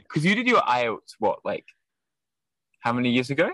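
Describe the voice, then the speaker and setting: A man's voice: mid-range voice, big pitch swings, a little slow, a bit bright, no echo. A young man, hosting a casual chat in a rambling, self-interrupting, jokey way. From Australia. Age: young adult.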